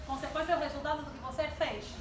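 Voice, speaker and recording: A woman's voice: high-pitched, steady tone, quick pace. A woman in her twenties giving a sermon-style talk to a congregation, asking the audience questions and drawing responses. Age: 20s. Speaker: church youth speaker. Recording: distant mic in an echoey hall with background noise.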